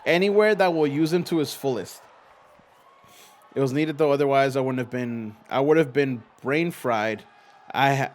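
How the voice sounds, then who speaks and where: A young man hosting a game stream, chatting casually and commenting on the play in a slangy way that trails off into quick restarts. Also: mid-range voice, slight background noise. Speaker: streamer host.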